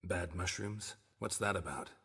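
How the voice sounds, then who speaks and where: An actor playing a stoic, dryly wry monster hunter in a fantasy video game, speaking in a terse, deadpan way. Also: male voice, sits low, measured pace, vocal fry, a little hiss or hum, exaggerated voice. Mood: dry, probing.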